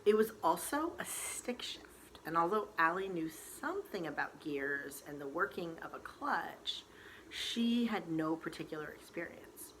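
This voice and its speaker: A woman storyteller reading a novel aloud in expressive, dramatic narration with deliberate emphasis. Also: lowish voice, poor audio. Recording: faint background noise.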